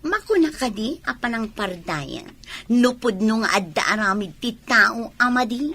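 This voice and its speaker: A woman's voice: fairly high pitch, lively intonation, measured pace, bright, forceful tone, slightly rough, heavily acted. A woman with an Ilocano accent playing a scheming, gloating young village woman in a radio comedy drama. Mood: sly, measured.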